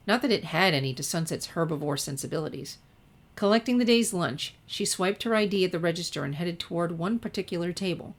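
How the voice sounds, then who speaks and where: A woman reading a story aloud in a scripted narration style. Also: low voice. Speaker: fan fiction reader.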